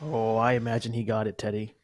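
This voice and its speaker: A man playing a soft-spoken mother tucking her children into bed in a live old-time radio play reading. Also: fairly low pitch, flat delivery, fairly quick. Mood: soothing. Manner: soft, soothing bedtime delivery.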